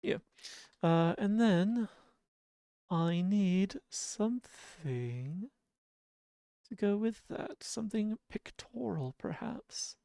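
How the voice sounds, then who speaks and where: A game streamer with a sleepy-sounding voice, chatting casually and softly with viewers, often chuckling and humming idle filler tunes. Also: mid-range voice, lively intonation, drawn-out words, creaky, pauses often, no room sound, studio quality. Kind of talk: casual livestream chat.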